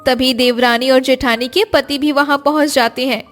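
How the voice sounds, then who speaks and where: A woman with a very high voice narrates a scripted cartoon family tale. A faint music bed runs behind her storytelling. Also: steady tone, normal speed.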